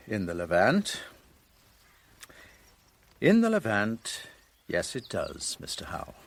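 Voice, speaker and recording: An actor in a radio drama playing a composed, skeptical interrogator, speaking in a deliberate, dry and pointed way. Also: male voice, lowish voice, wildly swooping pitch, a bit bright, heavily acted. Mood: dry skepticism. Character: probing, skeptical, composed male interrogator and narrator.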